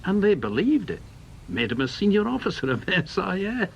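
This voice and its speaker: A man's voice: high voice, very animated, moderate pace, gravelly, dull audio, slight background noise, full character voice. An actor playing a bitter, blunt veteran British intelligence man in a radio drama, with an emphatic, scornful manner.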